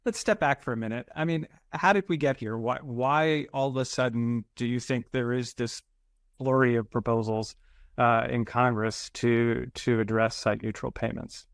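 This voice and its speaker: A man with a mid-range voice runs the panel and asks questions in a brisk, friendly way. He is heard dry and close over a video call. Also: medium pitch, fairly even intonation, creaky, no echo. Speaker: webinar moderator. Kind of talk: moderating a panel.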